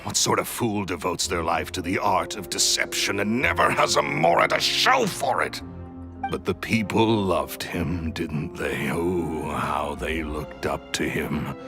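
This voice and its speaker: Gravelly, theatrical villainous magician. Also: male voice, low voice, animated, a little slow, music in the background, full character voice. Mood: bitter, resentful. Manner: theatrical, sneering, gloating. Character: bitter, vain, greedy villainous magician and thief. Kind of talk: video game voice acting.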